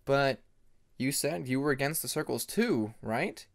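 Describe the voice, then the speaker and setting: A man's voice: mid-range voice, expressive, measured pace, gravelly. A man voicing a friendly young male dragon student with a polite, inquisitive manner. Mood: curious.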